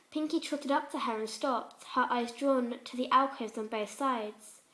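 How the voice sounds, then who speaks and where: A woman's voice: a little high, fairly bright tone, echoey room. A woman reading fan fiction aloud as scripted storybook-style narration.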